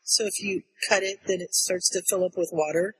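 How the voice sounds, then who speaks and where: High-voiced, husky man retelling a story. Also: steady tone, brisk. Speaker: podcast co-host. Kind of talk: casual book discussion. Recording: long echoey reverb.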